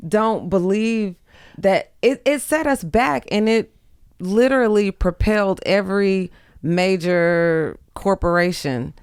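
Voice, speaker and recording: A woman's voice: mid-range voice, takes it slowly, darkish tone, clean tone, no echo, studio quality. A woman guesting on a podcast, speaking casually with blunt, slangy frankness. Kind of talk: casual chat.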